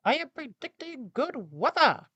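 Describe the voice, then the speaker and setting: An indignant storybook rooster, proclaiming its doom. The voice is extremely high and shrill, stretching words dramatically in a dry, close sound. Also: very animated, a little slow, sharp tone, dry recording, dull audio, heavily acted. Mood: alarmed, proclaiming.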